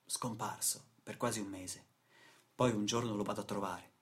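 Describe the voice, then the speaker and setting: A man's voice: lowish voice, fairly even intonation, normal speed, lightly acted. A man acting a weary, indignant factory worker in a dramatic radio play monologue, with stammered repetitions. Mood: bleak, weary. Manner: dramatic, stammering repetitions, swings from muttering to outbursts. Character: weary, indignant male factory worker telling his grim story.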